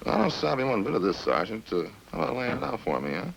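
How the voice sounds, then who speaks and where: Shifty male suspect, gravelly, animated pitch, slangy and indignant, 1950s radio drama, hissy old recording. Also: fast talker, rough recording. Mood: indignant denial. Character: evasive male crime suspect, defensive ex-convict.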